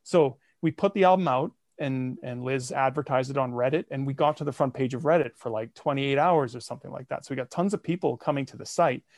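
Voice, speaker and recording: A man guesting on a podcast, telling the story of his projects in a lively, self-mocking way. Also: medium pitch, fairly quick, few pauses, dry recording, studio quality. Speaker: guest, comedy podcaster and songwriter. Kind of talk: casual interview.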